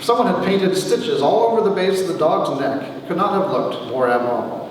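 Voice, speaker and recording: A man reads a humorous memoir with wry timing and dramatic pauses. His fairly high voice swings in an animated way. He is picked up from a distance in a very echoey hall. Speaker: author reading his own story. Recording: echoey hall from far off, faint noise.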